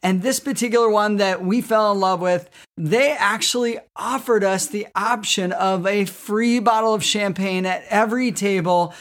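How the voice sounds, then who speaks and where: A man's voice: high-pitched, moderate pace, hardly any pauses, a bit bright. A middle-aged man, talking casually and effusively as a podcast co-host.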